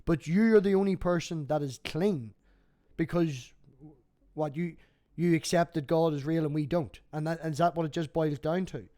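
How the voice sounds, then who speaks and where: Higher-pitched, mellow-voiced man, blunt and sweary. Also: normal speed. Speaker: host. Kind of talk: casual debate chat.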